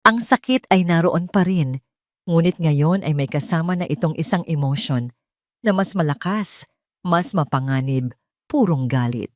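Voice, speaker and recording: Woman, Filipino accent, lowish pitch, very expressive intonation, dramatic story narration, scripted delivery. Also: unhurried, no room sound, clean studio sound.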